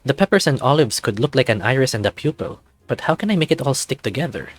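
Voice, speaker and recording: A man's voice: mid-range voice, animated, fast talker, natural voice. A man voicing an anxious, tipsy vampire bat husband in a visual novel, fretful and flustered. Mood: flustered, thinking aloud.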